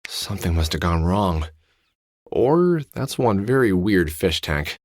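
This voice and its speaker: A man's voice: low-pitched, big pitch swings, a little slow, no echo, clean studio sound. A man voicing a wry, protective middle-aged adventure game hero who often mutters expressively to himself. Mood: wry, curious. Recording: background music under the voice.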